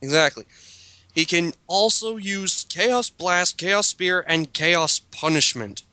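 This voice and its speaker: Bright-voiced man reading aloud. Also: high-pitched, takes it slowly, no echo. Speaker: host reading a character bio aloud. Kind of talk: dramatic reading with casual commentary.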